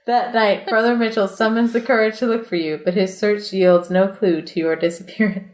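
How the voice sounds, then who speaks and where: A woman podcast host reading a storybook aloud in an expressive way, with casual, playful asides. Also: medium pitch, moderate pace, reverberant. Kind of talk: storybook read-aloud mixed with casual chat.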